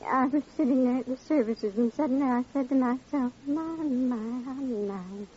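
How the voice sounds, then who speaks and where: An actress playing a dainty, chatty, slightly dotty widow with affected manners in an old radio drama. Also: female voice, high-pitched, moderate pace, dark tone, natural voice. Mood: dreamy, chatty. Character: genteel, dotty widow who enjoys attending strangers' funerals. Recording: muffled, noisy old radio recording.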